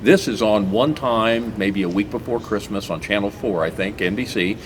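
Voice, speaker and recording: Chatty low-voiced man hosting. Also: moderate pace, a little hiss or hum. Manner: chatty, repeats words and restarts often. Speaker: local TV host. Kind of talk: casual interview chat.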